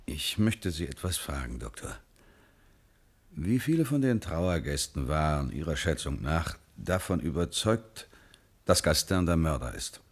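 An actor playing a calm, probing Paris police commissioner in a German radio crime drama, speaking with measured deliberation. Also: male voice, extremely low pitch, breathy edge, heavily acted.

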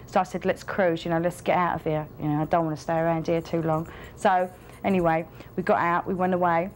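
A woman's voice: on the low side, little pitch movement, fairly quick, faint music behind. A young woman telling a personal story to the camera in a rambling way, full of fillers. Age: young adult. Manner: rambling, with many fillers and restarts. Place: indoor studio with a plain wall.

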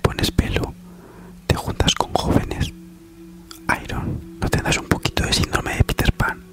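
A man in his thirties with a Spain accent whispers a mock-soothing, teasing ASMR comedy monologue. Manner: slow soothing delivery with mock-reassuring teasing. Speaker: ASMR parody YouTuber. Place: small living room at home, on a sofa.

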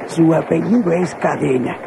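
An actor playing a courteous, hesitant train vendor with a Mexican accent in a radio soap opera. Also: male voice, fairly high pitch, moderate pace, vocal fry. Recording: noisy old radio drama recording.

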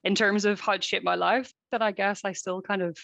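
Woman, civil engineer, talks super fast and rambly, bit of gravel in her voice. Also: medium pitch, fairly even intonation, a bit bright, dry recording. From Ireland. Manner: rambling, enthusiastic. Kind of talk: casual panel chat.

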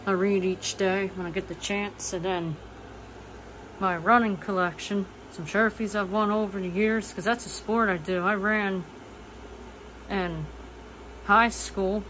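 Brief: twenties woman, plain and matter-of-fact vlogger, phone audio with steady household hum. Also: medium pitch, low-quality recording. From eastern United States. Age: 20s. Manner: plain, matter-of-fact, listing things as she walks. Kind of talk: casual house tour. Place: small manufactured home, indoors. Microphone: phone camera, front-facing then walking.

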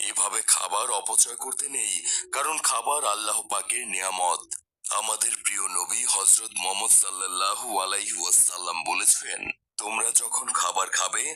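Brief: male actor as patient devout cartoon dad, deep pitch, intensely bright edge, gently admonishing. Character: pious, patient father gently admonishing his daughter. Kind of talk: cartoon dialogue.

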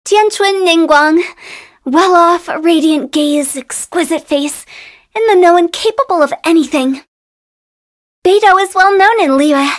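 A woman's voice: very high-pitched, a little slow. A woman voicing a playful, eccentric young female funeral director in a video game, with a theatrical, teasing, sing-song delivery. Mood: gossipy, mischievous. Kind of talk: video game character voice lines.

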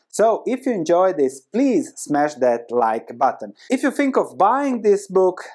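A man in his thirties with a Croatian accent, a native Croatian speaker, giving an animated, conversational explanation to camera with emphatic repetition. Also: fairly high pitch. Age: 30s. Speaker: host of an investing video channel. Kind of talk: explanatory book summary to camera. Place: small indoor room with bookshelves. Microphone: lapel mic.

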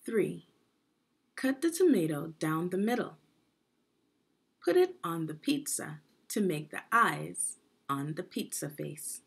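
A woman with a slightly low, gravelly voice leads a children's read-aloud. She phrases each part deliberately, like a teacher, and her pitch moves in lively swings. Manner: deliberate teacherly phrasing, asks the listener questions. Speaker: education consultant reading aloud.

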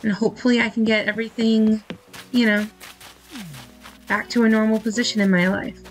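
A woman's voice: fairly high pitch, level tone, fairly quick, faint music behind, rough recording. A woman in her thirties chatting casually as she streams a game, rambling and thinking aloud. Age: 30s. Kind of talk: casual chat while playing a game.

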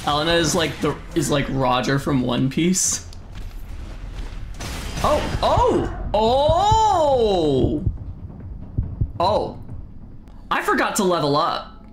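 A young man from the United States chatting casually with his stream chat while gaming at home, reading messages aloud and breaking into sudden loud exclamations. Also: fairly high pitch, wildly swooping pitch, extremely slow, pauses often, over music. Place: home room, seated in desk chair.

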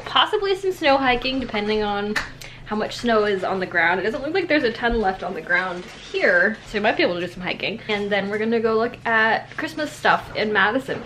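A young woman in her twenties vlogs to a camera held at arm's length, chatty and giggly. Her voice sits high. A clear hum of noise runs behind her. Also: a bit bright. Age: 20s. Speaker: vlogger. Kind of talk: casual vlog chat to camera. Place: kitchen indoors, then outdoors and in a car. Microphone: handheld camera at arm's length.

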